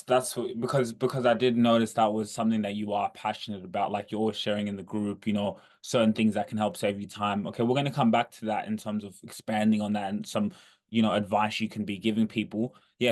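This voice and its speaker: A man's voice: on the low side, fairly even intonation, a little fast, a little mellow. A man hosting a podcast, asking long, rambling questions with encouraging restarts. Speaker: podcast host. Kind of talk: casual podcast interview.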